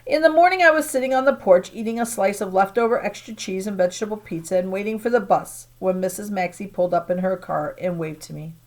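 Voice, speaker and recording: A woman teacher reading a children's novel aloud to her class in a plain, steady narrating voice. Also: medium pitch, fast, few pauses.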